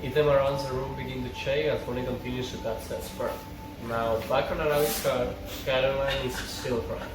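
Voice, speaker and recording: A man's voice: medium pitch, fairly even intonation, brisk, few pauses. A man reading stage directions aloud as narrator at an informal script table read. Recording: echoey, distant mic, clear background noise.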